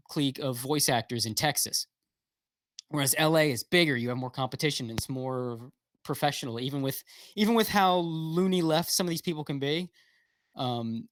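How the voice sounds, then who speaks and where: Male guest, slightly high voice, rambling and blunt, dry video-call audio. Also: fairly bright tone, dry recording. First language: English. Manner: rambling, frequent fillers and restarts, blunt with profanity. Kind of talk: casual livestream chat.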